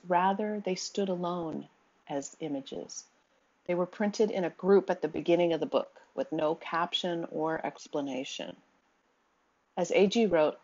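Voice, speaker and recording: A woman giving a recorded slide lecture in a measured, steady way, with the odd um. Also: fairly low pitch, dull audio. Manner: measured, reads quotes aloud, occasional ums.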